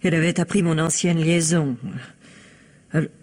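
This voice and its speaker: A respectable wife guarding a secret in a mystery radio play. Her voice is husky and breathy, and she speaks with hesitant, earnest, dramatic unease. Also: deep voice, little pitch movement, moderate pace, darkish tone. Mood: anxious, confessing. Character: anxious, secretive, respectable married woman.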